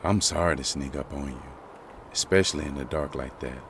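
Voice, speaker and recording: A man's voice: rumbling low, brisk, fairly bright tone. A man playing an apologetic, wary father who is surviving on the road, in a dramatic comic dub.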